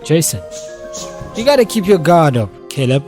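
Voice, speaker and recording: A man's voice: fairly high pitch, very animated, moderate pace, a little mellow, soft background music, pitched above the performer's own voice. Man acting a tough, streetwise teenage boxer. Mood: coaching. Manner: streetwise, urging. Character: tough, determined teenage boy boxer, protective older friend.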